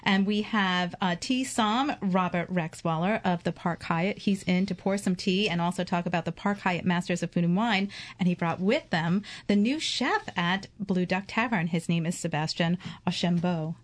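A woman's voice: lowish voice, moderate pace, hardly any pauses. A woman co-hosting a radio show in the studio, bubbly and teasing. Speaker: radio co-host. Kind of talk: casual radio chat.

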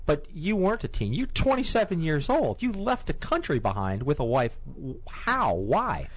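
Animated male interviewer, halting question starts. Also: a little high, breathy edge, no room sound. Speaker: interviewer, host.

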